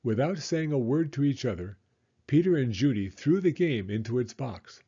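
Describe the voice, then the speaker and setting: Expressive male storyteller, animated and mellow. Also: mid-range voice, moderate pace, slightly rough, muffled recording. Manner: expressive, dramatic. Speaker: children's story reader. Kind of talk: scripted storybook narration.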